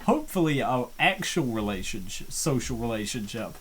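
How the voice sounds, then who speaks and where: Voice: mid-range voice, very animated, normal speed, a little hiss or hum. A let's-play host giving casual, chatty commentary and reading the on-screen game text aloud.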